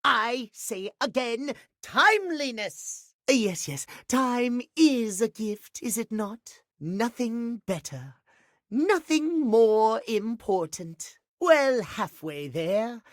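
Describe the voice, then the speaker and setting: A man playing a prim, fussy gentleman timekeeper in a video game, posh and precise. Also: very high voice, big pitch swings, slow pace, dry recording, clean studio sound, exaggerated voice. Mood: exacting, theatrical. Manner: posh, precise, theatrical lecturing. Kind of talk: video game character acting.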